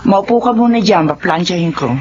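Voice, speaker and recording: A woman's voice: lowish voice, animated, fairly quick, a little mellow, lo-fi sound, a little hiss or hum, close to an everyday voice. An actress playing a caring middle-aged mother and wife in a radio drama.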